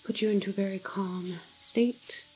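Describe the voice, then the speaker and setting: A woman playing a calm, clinical doctor in an ASMR roleplay, soft-spoken and sometimes whispering, explaining things in a hesitant, measured way. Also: medium pitch, soft background music, natural voice, poor audio. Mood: soothing, instructing. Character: calm, clinical woman doctor at an alien-virus quarantine facility.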